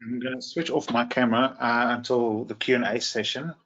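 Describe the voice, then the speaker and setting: A man with a croaky voice presenting a social media training webinar, hesitant with many fillers. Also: medium pitch, steady tone, a little fast, over loud music. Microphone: video call. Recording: muffled video call audio.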